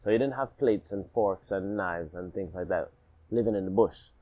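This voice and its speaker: Low-voiced man telling his story. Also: normal speed, dull audio. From Alabama, raised partly in Jamaica. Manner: reflective, animated, rhythmic repetition. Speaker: guest. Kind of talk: casual storytelling in a podcast interview.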